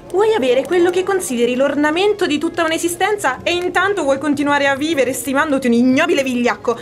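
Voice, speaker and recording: A woman's voice: sits high, natural voice. An actress playing an ambitious, scheming, commanding noblewoman in an Italian radio drama, theatrical and formal.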